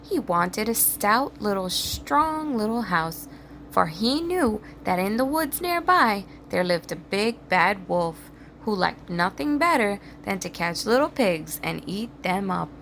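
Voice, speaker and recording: A woman narrates a children's storybook expressively. She takes her time, with wide swings in pitch and a bit of creak in the voice, over faint background noise. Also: very animated, slow pace, a bit bright, poor audio. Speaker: storybook reader. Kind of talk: children's read-aloud narration.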